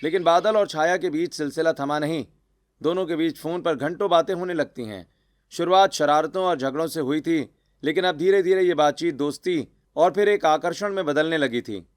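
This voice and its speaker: A man's voice: fairly high pitch, moderate pace, dry recording, studio quality. A man reading a scripted story as its narrator.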